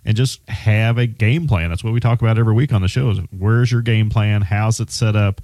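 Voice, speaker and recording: A man who is a financial advisor and hosts a radio show, speaking in a relaxed, plainspoken way with lots of "you know" and restarts. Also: on the low side, a little fast, no echo. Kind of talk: conversational radio finance talk.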